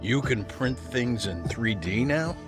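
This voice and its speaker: A man playing a gruff, old-fashioned senior lawyer in an English-dubbed crime game. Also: mid-range voice, animated, slow, music in the background. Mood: baffled.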